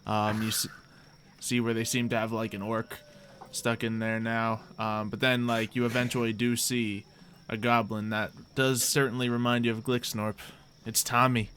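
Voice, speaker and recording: A man with a bright, forward voice runs a tabletop game, improvising the narration conversationally. His delivery is halting, and faint noise sits behind him. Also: medium pitch, level tone. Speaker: game master.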